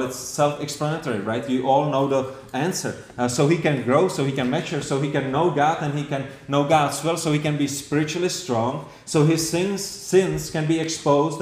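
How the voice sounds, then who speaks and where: A man's voice: medium pitch, darkish tone. Czech-accented man preaching in an echoey hall. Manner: earnest, repetitive, many rhetorical questions and restarts. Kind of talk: sermon.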